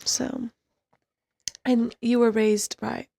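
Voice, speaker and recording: A woman's voice: on the high side, little pitch movement, very slow, creaky, warm tone, dry recording, clean studio sound. A young woman co-hosting a podcast, chatting casually with a giggly, rambling manner. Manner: giggly, rambling, self-deprecating. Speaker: podcast co-host. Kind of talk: casual chat.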